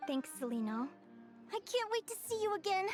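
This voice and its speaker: Earnest, warm-hearted game heroine, a perky girl with a high voice over clear music. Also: sing-song, normal speed. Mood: affectionate. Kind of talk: video game story dialogue.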